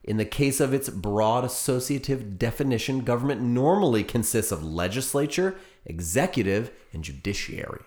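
A man's voice: medium pitch, animated, normal speed, hardly any pauses, a little mellow. An American man speaking casually as a podcast co-host, in a chatty, bantering style.

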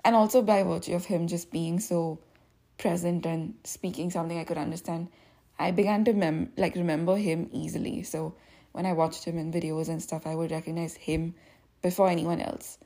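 A woman talks alone on her podcast in a relaxed, reflective ramble full of "um" and "like." Her voice sits fairly low and has a mellow, dark color. A gravelly fry runs under her words. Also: moderate pace. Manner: reflective, rambling, many fillers. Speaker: podcast host. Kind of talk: casual solo podcast talk.

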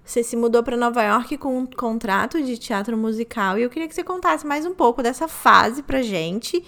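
A woman's voice: a little high, moderate pace, creaky, fairly bright tone. A woman from Rio de Janeiro with a Carioca accent, hosting a casual interview with chatty, playful asides. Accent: Carioca Portuguese. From Rio de Janeiro, Brazil.

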